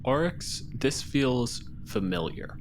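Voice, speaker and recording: A man's voice: mid-range voice, sing-song, slow pace. Male tabletop gamer, very varied intonation, informal narration and banter, slight background noise. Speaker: participant in tabletop roleplaying game. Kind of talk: casual game narration and banter.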